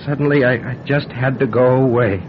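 An actor playing a frail, kindly old gentleman in a radio drama, speaking haltingly and repeating words. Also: fairly even intonation, close to an everyday voice. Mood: hesitant. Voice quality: elderly, faltering. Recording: dull, noisy old radio recording, vehicle rumble.

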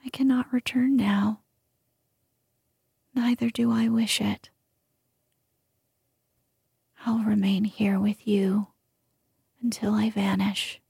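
A woman voicing a devoted young male sunbeam spirit in an earnest, hushed whisper. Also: mid-range voice, flat delivery, slow pace, vocal fry, pauses often, husky, no room sound, studio quality, full character voice. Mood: resolved. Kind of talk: whispered ASMR storytelling.

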